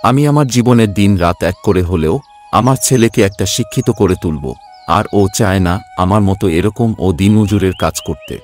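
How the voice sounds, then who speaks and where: A man's voice: on the low side, fairly quick, a little mellow, faint music behind, natural voice. A man playing a humble, hardworking poor father in a cartoon story, speaking earnestly and reassuringly. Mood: earnest pleading. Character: hardworking, self-sacrificing poor labourer father, devoted and humble. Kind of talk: Bengali cartoon story voice acting.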